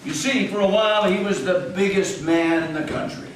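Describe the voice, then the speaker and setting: A man playing a folksy New England storyteller, narrating a legend in a measured, theatrical way at a staged reading. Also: sits high, close to an everyday voice. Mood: folksy, dramatic. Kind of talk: theatrical folk-tale narration. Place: meeting house stage. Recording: echoey hall, distant mic, faint noise.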